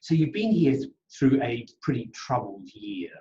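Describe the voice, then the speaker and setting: A middle-aged man interviewing a colleague in a conversational, measured and slightly hesitant way. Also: very animated, warm tone. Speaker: managing director conducting the interview. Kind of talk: workplace interview, conversational questions. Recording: dull audio with clear low rumbling noise.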